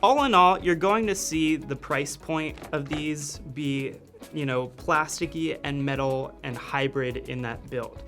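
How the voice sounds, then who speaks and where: A man's voice: on the high side, measured pace, over music. A man casually reviewing video gear in his office studio, explaining things step by step in a friendly way.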